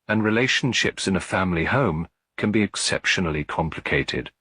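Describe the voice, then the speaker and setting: A man voicing a kind lady detective in a mystery story, asking questions in a gentle, polite, tactful way. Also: low-pitched, expressive, fairly quick, slightly rough, no echo, heavily acted. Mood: gentle, probing. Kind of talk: detective story character reading.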